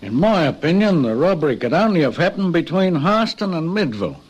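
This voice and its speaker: An actor playing a plain-spoken railway guard in a radio drama. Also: male voice, on the high side, big pitch swings, fairly quick, slightly rough. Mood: puzzled.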